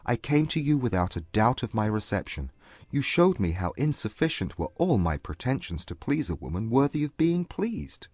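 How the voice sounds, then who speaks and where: A man playing a proud but humbled gentleman suitor, earnest and formal, in a dramatized classic romance audiobook. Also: medium pitch, sing-song, dry recording. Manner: formal, measured period English.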